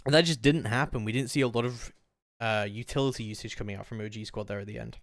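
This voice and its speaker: A man's voice: medium pitch, fairly even intonation, fast, no room sound, studio quality. Man casting a live esports match. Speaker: play-by-play esports commentator. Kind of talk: live video game match commentary.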